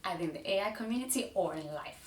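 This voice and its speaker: A woman's voice: mid-range voice, animated, fast. A woman co-hosting a podcast, talking in an enthusiastic, upbeat way. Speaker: podcast co-host. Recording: echoey room, distant mic, faint noise.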